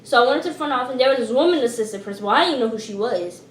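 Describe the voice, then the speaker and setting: Loud, high-voiced teenage girl. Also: very fast, reverberant, slight background noise. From Georgia, US. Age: teens. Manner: loud, expressive, slangy storytelling. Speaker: vlogger. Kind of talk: casual storytime.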